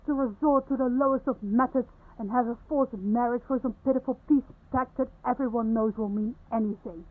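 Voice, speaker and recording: A woman's voice: fairly high pitch, a little fast, natural voice. A woman playing a defiant, idealistic young princess in a fantasy audio drama, articulate and scornful of war. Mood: scornful, indignant. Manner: articulate, accusing. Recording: thin, phone-like muffled sound.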